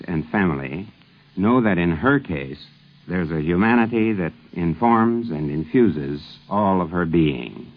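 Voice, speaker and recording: A man narrating formally and in a measured way as host of a scripted 1950s radio program. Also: low voice. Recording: dull vintage radio recording.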